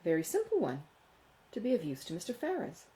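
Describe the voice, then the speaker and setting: A woman voicing a sensible young gentlewoman in a Regency audiobook, measured, polite and firm. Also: fairly low pitch, wildly swooping pitch. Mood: calm, firm. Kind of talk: acted audiobook dialogue from a Regency novel.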